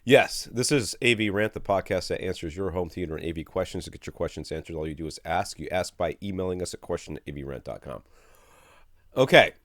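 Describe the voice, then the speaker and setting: A man in his forties hosting a casual podcast from a small home studio, rambling and animated, feeling run down and sucking a cough drop. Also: sits low, quick pace, no echo. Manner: rambling, animated, easily amused. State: under the weather, with a cough drop in his mouth. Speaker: podcast host. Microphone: studio mic with headphones.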